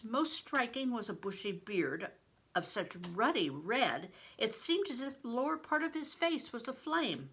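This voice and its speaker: A woman's voice: mid-range voice, creaky, fairly bright tone, slight background noise. An older woman, a retired reading teacher, narrating a storybook expressively and with a teacherly style.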